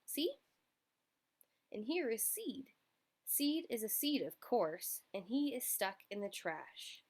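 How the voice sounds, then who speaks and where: A woman's voice: fairly high pitch, sing-song, slow, darkish tone. A woman storyteller reading a picture book aloud to young children, expressively, addressing her listeners directly.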